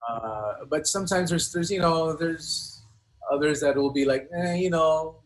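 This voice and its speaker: A man speaking casually as a music interview guest, in halting, repetitive phrases with many filler words. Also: fairly high pitch, poor audio. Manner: halting, repetitive phrasing with frequent "you know". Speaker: guest hip hop artist.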